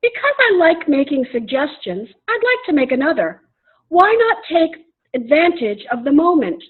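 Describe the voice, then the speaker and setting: A woman playing an insistent, nagging questioner, deliberate and pressing, in a play reading. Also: sits high, very animated, narrow, tinny audio. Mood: coaxing. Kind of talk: virtual readers theater play reading.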